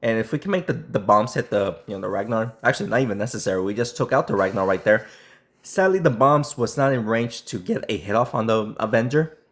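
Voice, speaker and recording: A man with a hoarse voice, sick and nearly losing it, commenting casually on a mobile game match he is playing. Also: lowish voice, animated, fairly bright tone. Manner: throat clearing, halting restarts. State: sick, voice strained and nearly lost. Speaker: gaming YouTuber.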